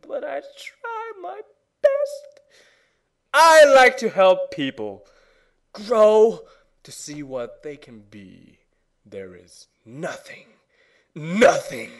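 A man with a fairly high voice performs a mock-dramatic reading. His pitch swings widely and his pace is very slow, full of theatrical pauses. He moves between hushed whispering and loud, overwrought declamation.

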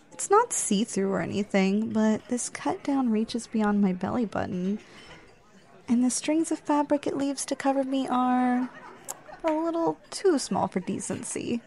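A woman's voice: medium pitch, dark tone, close to an everyday voice. Flirty, teasing woman acting on a date. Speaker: ASMR roleplayer. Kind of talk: ASMR roleplay.

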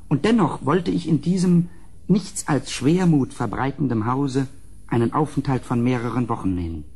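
A grave gentleman narrator in a gothic radio play. His voice is husky and of medium pitch. He speaks in solemn, measured, literary sentences, as one haunted by what he describes. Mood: brooding, uneasy. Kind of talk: dramatic gothic audio drama narration.

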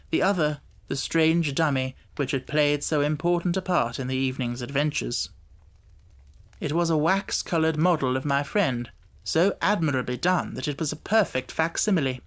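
A man's voice: a little high, fairly bright tone. Man narrating a classic audiobook. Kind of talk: scripted literary narration.

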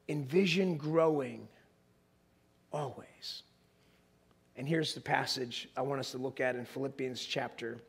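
A middle-aged man preaching with a naturally gravelly voice, roughened further by hoarseness. He talks in a slightly high register, pacing the stage, and builds to emphatic, animated peaks. He sounds close on a body mic in front of a large congregation. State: hoarse, voice strained. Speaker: pastor. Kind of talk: sermon. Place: large church stage with an audience.

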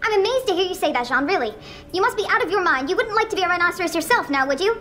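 A woman's voice: extremely high pitch, expressive, racing. A woman playing an anxious, meek, well-meaning male friend in a radio play, hesitant, stammering and pleading. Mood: earnest, disbelieving. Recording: noisy track with clicks.

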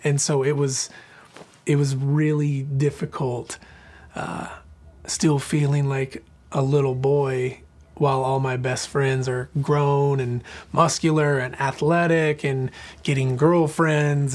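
A man in his thirties from Southern Oregon, a singer-songwriter telling his life story in an earnest, reflective way with many fillers and restarts. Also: on the high side. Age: 30s. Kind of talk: personal interview storytelling.

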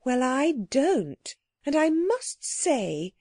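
A woman's voice: high voice, big pitch swings, slow, a little mellow, pitched above the performer's own voice, full character voice. A woman voicing an imperious, easily offended ancient queen in a storybook, with a commanding manner. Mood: haughty, injured. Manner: commanding, haughty.

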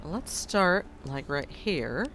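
A man hosting a let's play, hoarse and froggy after being sick, making wry, sarcastic asides over the game. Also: fairly high pitch, lively intonation, takes it slowly, fairly bright tone, slight background noise. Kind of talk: casual game commentary. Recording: faint game music behind.

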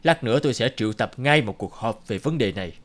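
A man's voice: mid-range voice, animated, fast talker. Authoritative company director man. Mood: approving. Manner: authoritative, approving. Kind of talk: cartoon voice acting. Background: music.

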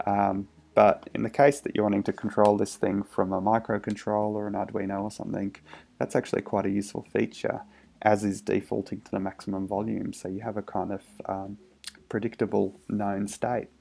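A man's voice: on the low side, flat delivery, brisk, gravelly, warm tone, breathy edge. A man casually narrating a hands-on electronics demo in a hesitant, understated way, thinking aloud. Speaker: electronics hobbyist presenter.

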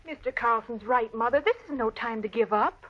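A plucky young Western heroine speaks earnestly in a high, breathy voice. It is radio drama with muffled vintage sound. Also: female voice, fairly quick. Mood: firm. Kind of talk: old-time radio drama acting.

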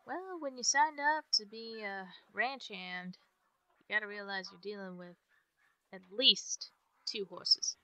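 A woman's voice: mid-range voice, measured pace, sharp tone, no room sound, low-quality recording. A woman playing an easygoing young ranch hand in an ASMR roleplay, chatting in a folksy way to a newcomer. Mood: relaxed, friendly. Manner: folksy, drawling phrasing with ain't and gotta. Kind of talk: ASMR roleplay, one-sided chat. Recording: background noise with farm animal ambience.

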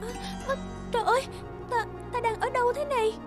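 A woman's voice: very high voice, fairly quick, over music, pitched above the performer's own voice. A woman voicing an anxious young maiden in a cartoon fairy tale, with an exclaiming, emotional delivery. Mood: terrified. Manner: trembling, stammering, exclaiming. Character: frightened young woman held by a beast. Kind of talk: animated fairy-tale dubbing.

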